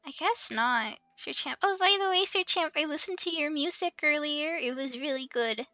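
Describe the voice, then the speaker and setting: A woman with a high, bright voice hosts a casual game stream. There is noticeable fry in her playful, giggly delivery. She sounds close and dry as she chats and reads out game text. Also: normal speed, no room sound, faint music behind. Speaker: livestream host playing an online game. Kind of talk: casual chat with game commentary and dialogue read aloud.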